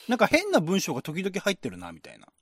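A man's voice: sits high, wildly swooping pitch, quick pace, fairly bright tone, no room sound, clean studio sound. A man hosting a casual, explanatory discussion in polite speech, often checking in with his listener. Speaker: host and reader of a radio-drama reading show.

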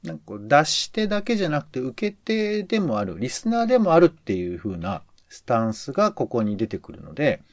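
Very animated man, dry close sound. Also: mid-range voice, a little fast, hardly any pauses, dry recording. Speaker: podcast co-host. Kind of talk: conversational radio review commentary.

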